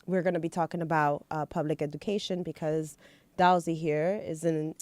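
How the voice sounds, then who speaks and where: Host: woman, lower voice, dry recording, relaxed interviewer. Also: moderate pace, no room sound. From New York, the Bronx. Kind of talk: casual interview chat.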